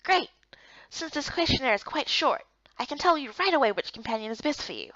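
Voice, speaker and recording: A woman's voice: high voice, sing-song, a little fast, bright, forceful tone, no echo, lo-fi sound, full character voice. A woman voicing a patient, helpful male guild guide in a visual novel game, speaking politely and instructively.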